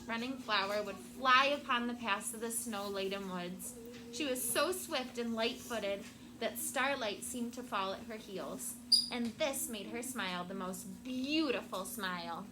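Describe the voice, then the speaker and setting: A woman teacher reading a storybook aloud to children in a classroom, with expressive, deliberate phrasing and prompting questions. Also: on the high side. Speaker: elementary school teacher. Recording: very noisy classroom recording.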